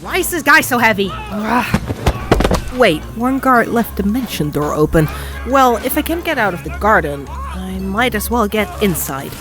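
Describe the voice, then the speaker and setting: A woman's voice: medium pitch, big pitch swings, normal speed, loud music behind the voice, close to an everyday voice. Timid, anxious young orc played by a woman. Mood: flustered. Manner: worried, muttering to self. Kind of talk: fantasy audio drama acting.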